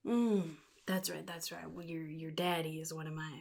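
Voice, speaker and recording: A woman voicing a chaotic, jittery male clown supervillain in soft-spoken ASMR roleplay, half-whispering and rambling in halting, sly phrases. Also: deep voice, steady tone. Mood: rambling, teasing. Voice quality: breathy, gravelly, half-whispered. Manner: halting, rambling, sly, addresses listener as kid.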